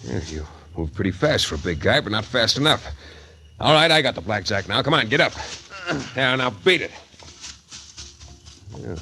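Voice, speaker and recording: A man's voice: mid-range voice, sing-song, normal speed, long pauses, sharp tone. An American man playing a wisecracking, hard-boiled private detective in 1940s radio style, wry, breezy and slangy. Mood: tough. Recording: noisy 1940s radio transcription recording.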